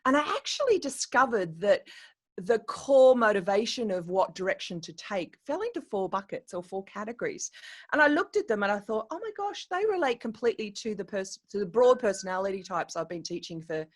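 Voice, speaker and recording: A middle-aged woman from Australia, a coach and educator, telling her story as a podcast guest in a chatty, animated way with many restarts and fillers. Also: medium pitch, a little fast. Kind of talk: podcast interview.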